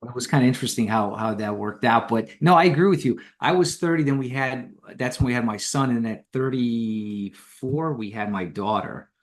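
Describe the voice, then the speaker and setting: A middle-aged man born in Romania, speaking at an ordinary male pitch. He talks in an easygoing, frank way and chuckles between his points. He is a guest speaking over a remote call. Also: moderate pace, hardly any pauses. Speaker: podcast guest, copywriter. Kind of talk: casual conversation.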